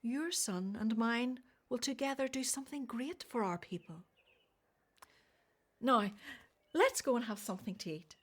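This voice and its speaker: Casting: hospitable older mother-to-be, high voice, affectionate, exclamatory, lightly teasing, for radio drama. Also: lively intonation, unhurried. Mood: awed, joyful. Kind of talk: radio play acting.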